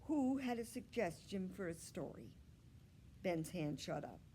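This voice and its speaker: A woman narrating a children's storybook as a library read-aloud, reading carefully and deliberately. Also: lowish voice, big pitch swings, mellow. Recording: faint background noise.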